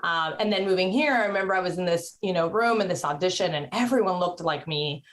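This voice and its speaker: A woman's voice: on the low side, quick pace. A woman in her thirties who grew up in Mexico, a guest talking casually over a video call, chatty, with many fillers and restarts. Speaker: interview guest, actress and writer. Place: indoors at home, curtained room.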